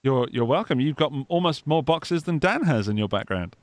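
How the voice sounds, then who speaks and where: A man's voice: mid-range voice, lively intonation, fairly quick, dry recording. A man from England with a Gloucestershire accent, hosting a live guitar podcast over a video call in a chatty, jokey way with many restarts. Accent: English, Gloucestershire. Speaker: podcast host. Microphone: studio microphone on a video call.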